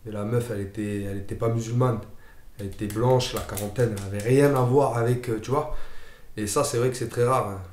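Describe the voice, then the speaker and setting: A man's voice: mid-range voice, fairly even intonation, fairly quick, darkish tone. A young man from France talking casually, with many hesitations and fillers. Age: young adult. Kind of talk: casual testimony. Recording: echoey room.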